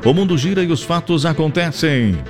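A man's voice: a little high, a little fast. A man with a southern Brazilian accent hosting live radio in a chatty, effusive way, often repeating his phrases. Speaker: radio host. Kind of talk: live radio chat, shout-outs and ad reads. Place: radio studio. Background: music under the voice.

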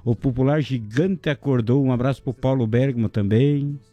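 A man hosting a casual local radio show, jokey and chatty, giving shout-outs to listeners and sponsors. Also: mid-range voice, moderate pace, no room sound, lo-fi sound, soft background music. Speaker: radio host.

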